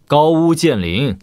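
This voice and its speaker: An actor playing a shrewd young warlord prince with measured, casual authority in a wuxia audio drama. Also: male voice, fairly high pitch, darkish tone, no echo, exaggerated voice. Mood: teasing.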